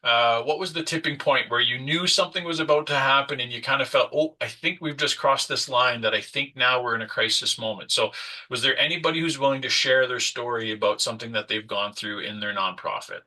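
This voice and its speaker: A man's voice: mid-range voice, a little fast, pushed and bright, recorded from a distance. A man whose first language is French, presenting a nonprofit workshop in a conversational way, with frequent fillers and restarts. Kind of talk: online webinar presentation.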